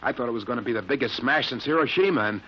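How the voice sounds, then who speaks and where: An actor in an old-time radio drama playing a shaken, earnest young test pilot, halting and insistent. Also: male voice, mid-range voice, animated, lightly acted. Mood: shaken, dazed. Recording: muffled old radio broadcast recording.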